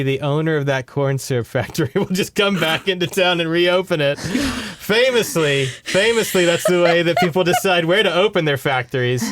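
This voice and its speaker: A man's voice: on the high side, a bit bright, a little hiss or hum. A man with an American accent chatting casually and wryly as he recaps a book as a podcast co-host. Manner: wry, informal, sarcastic.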